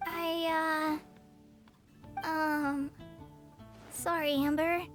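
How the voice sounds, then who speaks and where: A woman's voice: high-pitched, little pitch movement, drawn-out words, music in the background. A woman voicing a shy, polite teenage girl in an anime game, earnest and a little hesitant. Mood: cheerful, polite.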